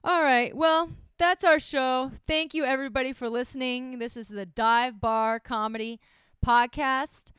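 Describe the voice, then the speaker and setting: A woman's voice: high-pitched, steady tone, unhurried, fairly bright tone, clear, resonant tone, no echo, clean studio sound. A woman co-hosting a comedy podcast, bantering casually with a teasing, sarcastic manner. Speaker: comic and podcast co-host.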